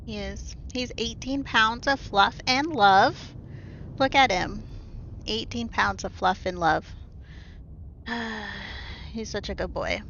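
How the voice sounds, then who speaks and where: A woman streaming from home talks into her desk mic, reading game narration and chatting with viewers in a loose way, with plenty of "like" and "um." She speaks slowly in a slightly low voice. Her stream audio sounds a bit muffled, with a faint hiss underneath. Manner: casual, soft-spoken, full of "like" and "um" fillers. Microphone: desk microphone.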